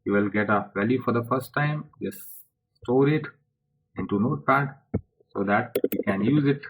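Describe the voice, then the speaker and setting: A man presenting a software tutorial step by step in an informal way, speaking haltingly in short phrases. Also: medium pitch, slight background noise, rough recording.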